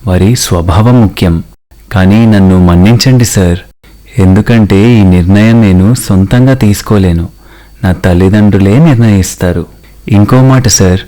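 A man's voice: fairly low pitch, warm tone, lightly acted. A man voicing a polite, principled man from a poor family in a cartoon story, respectful and sincere.

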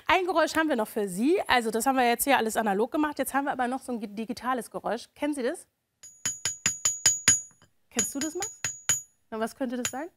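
A middle-aged woman hosts a television magazine from a studio. She talks casually with her guest in a somewhat high voice. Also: fast talker, long pauses, slight background noise. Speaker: TV magazine host. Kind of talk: casual studio interview chat. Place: indoor TV studio.

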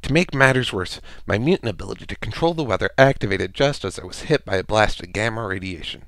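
A man's voice: on the low side, animated, fast talker, a bit bright, dry recording. A man voicing a cocky, irreverent mercenary antihero with a sarcastic, playful, taunting manner, as in a character voice demo. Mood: boastful, sardonic. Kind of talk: amateur character voice acting demo.

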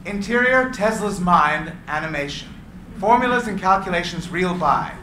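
A man reading the stage directions aloud at a live script reading, evenly and clearly. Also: high-pitched, animated, normal speed, pushed and bright. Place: small room with seated audience. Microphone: far camera. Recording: echoey room with faint hiss.

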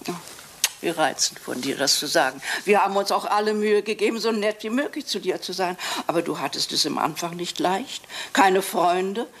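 A woman's voice: on the low side, animated, moderate pace, lightly acted. Woman playing a fussy, genteel chatterbox aunt. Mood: fussing, sympathetic. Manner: fussing, prattling, genteel. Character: fussy, chatty, well-meaning English spinster aunt. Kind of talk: radio play acting. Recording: hissy old radio play recording.

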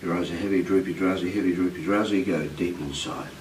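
A middle-aged man working as a hypnotist, giving directive suggestions and questions in short, deliberate phrases. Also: low voice, steady tone, a little mellow. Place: meeting room with glass wall, indoors. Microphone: distant camera mic. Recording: echoey room, distant mic, clear background noise.

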